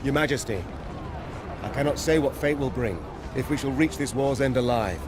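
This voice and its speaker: A man's voice: mid-range voice, normal speed, close to an everyday voice. A man playing a loyal, respectful knightly officer in a video game, speaking formally and with earnest reverence. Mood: earnest admiration. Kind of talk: dramatic video game acting. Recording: noisy background ambience.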